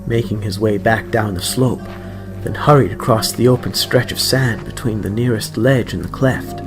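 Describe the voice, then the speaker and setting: A man's voice: fairly low pitch, normal speed. A man narrating an audiobook story in a dramatic, scripted reading style. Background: background music.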